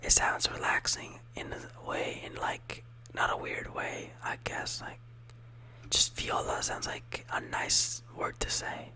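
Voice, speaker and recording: A speaker whispering a casual ASMR lesson, softly repeating words and rambling with lots of fillers. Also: slow, rough recording. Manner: soft whispering throughout. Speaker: teacher of Italian words.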